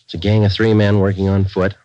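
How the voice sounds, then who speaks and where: A man's voice: low voice, flat delivery, a little fast, mellow, natural voice. An American man playing a laconic, businesslike detective sergeant in a 1940s radio crime drama, speaking in clipped, matter-of-fact lines. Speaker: narrator and lead actor. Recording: dull vintage radio recording with music.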